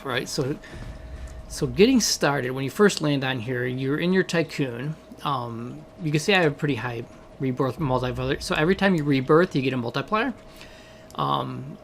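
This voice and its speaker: A man with a hoarse voice from a cold, casually walking viewers through the game he developed, rambling with many fillers. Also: a little high, a little hiss or hum.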